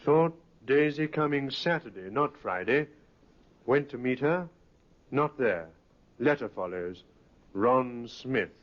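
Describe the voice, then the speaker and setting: An actor playing a courteous, businesslike police inspector in an old radio drama, speaking in a clipped, polite way. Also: male voice, medium pitch, lively intonation, slow. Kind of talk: 1950s radio drama acting. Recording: muffled old radio recording.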